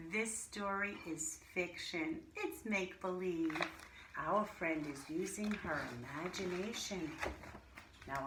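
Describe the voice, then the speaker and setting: A woman teacher reading and explaining to young children in simple, deliberate language with questions. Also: on the low side, a bit bright. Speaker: early-grade teacher reading a picture book aloud to children. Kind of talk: storybook read-aloud and children's lesson. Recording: roomy echo, clear background noise.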